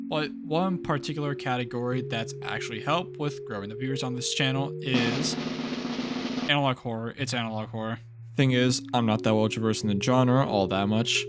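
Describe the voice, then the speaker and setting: A young man giving a casual, loosely scripted commentary that reviews horror series, with occasional stumbles. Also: mid-range voice. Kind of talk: casual video essay commentary.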